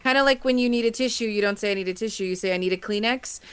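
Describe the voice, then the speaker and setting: A woman's voice: medium pitch, rapid-fire, a crowd in the background. A woman co-hosting a podcast, giving opinions casually and repeating her points for emphasis. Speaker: podcast co-host.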